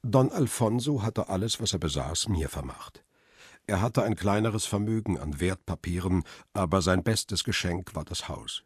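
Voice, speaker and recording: An actor playing a lonely, melancholy middle-aged photographer who narrates a radio drama in a measured, reflective way. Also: male voice, deep voice, lively intonation, husky, dry recording, clean studio sound, full character voice. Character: world-weary male photographer, lonely widower, melancholy. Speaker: first-person narrator and lead.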